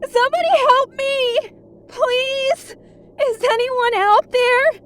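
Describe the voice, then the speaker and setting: A woman's voice: very high voice, fairly even intonation, slow pace, fairly bright tone, full character voice. A woman playing a frightened, defiant mother in a horror audio drama, desperate and emotional. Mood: desperate screaming. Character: terrified, defiant mother trapped in a haunted house.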